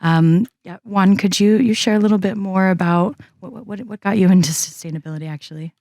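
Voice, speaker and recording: A woman's voice: mid-range voice, brisk, a little mellow, no echo, clean studio sound. A woman from Florida hosting a podcast, asking her guests questions in a friendly, hesitant way. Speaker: podcast host. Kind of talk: casual podcast interview.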